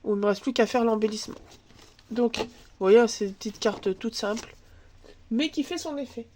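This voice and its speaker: Woman, congested from a cold, fairly high-pitched, rambling crafts tutorial, home table, camera above. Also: moderate pace, warm tone, slight background noise. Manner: chatty, thinks aloud while working, filler words. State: stuffy nose from a cold. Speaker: crafts channel host. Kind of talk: casual scrapbooking tutorial. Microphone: camera filming from above.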